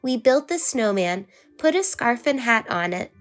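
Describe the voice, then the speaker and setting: A woman's voice: a little high, sing-song, measured pace, faint music behind. A woman voicing an eager little-sister girl who keeps asking wide-eyed questions. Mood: curious.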